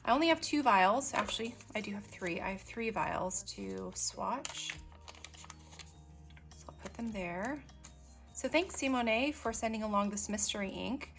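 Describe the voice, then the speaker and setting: Woman, casual vlogger, chatty and unhurried, frequent ums, mid-pitched voice, faint music underneath. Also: expressive, vocal fry, a bit bright. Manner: chatty, unhurried, lots of ums, asides while working. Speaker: stationery vlogger. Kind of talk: casual show-and-tell narration.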